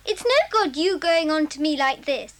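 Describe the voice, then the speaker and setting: A woman's voice: high voice, expressive, normal speed, a bit bright. An actress playing a sneaky, indignant schoolgirl in an audio drama, whiny and defensive. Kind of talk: 1980s audio drama acting.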